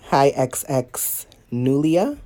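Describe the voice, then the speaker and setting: Woman, stuffy-nosed painting teacher, mellow tone, easygoing and chatty with viewers. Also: deep voice. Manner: chatty, laughs often, talks to viewers. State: stuffy nose, sniffly. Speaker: watercolor painting instructor. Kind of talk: casual live tutorial talk.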